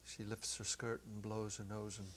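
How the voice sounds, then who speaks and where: A middle-aged man giving an expressive, deliberate dramatic reading of a short story, with theatrical pauses. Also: fairly low pitch. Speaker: story reader, teacher. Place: small indoor set. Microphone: lapel microphone. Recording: noisy old videotape recording.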